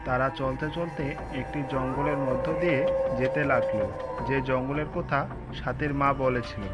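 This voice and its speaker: A man's voice: mid-range voice, fairly even intonation, moderate pace, dull audio. A man narrating a scripted cartoon story, with music playing behind his voice.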